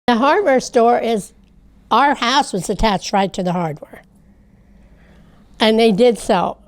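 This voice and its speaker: A woman in her eighties, a longtime resident, recalling the family store in an unhurried, rambling way. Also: a little high. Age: 80s. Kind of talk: interview recollection.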